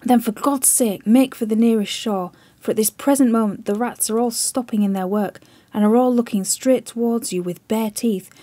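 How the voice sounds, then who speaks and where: A woman voicing a terrified young sailor in a spoken story, pleading loudly and desperately. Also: on the high side, normal speed, few pauses, no room sound, lightly acted. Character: terrified young sailor driven half mad, male.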